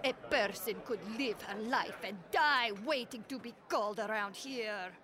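A woman's voice: fairly high pitch, measured pace, crowd noise, natural voice. Fed-up, grumbling woman petitioner played by an actress. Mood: indignant, grumbling. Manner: indignant, grumbling. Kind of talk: full-cast audio drama acting.

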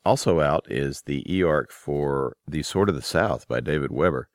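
Very deep-voiced man hosting a podcast. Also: measured pace, no echo, studio quality. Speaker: podcast host. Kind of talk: conversational podcast announcing and interviewing.

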